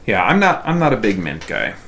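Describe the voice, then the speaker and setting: A man's voice: on the low side, huge pitch swings, a little fast, gravelly, fairly bright tone, a little hiss or hum. A man streaming a game, chatting casually and muttering to himself in a distracted way. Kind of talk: casual chat while gaming on a live stream.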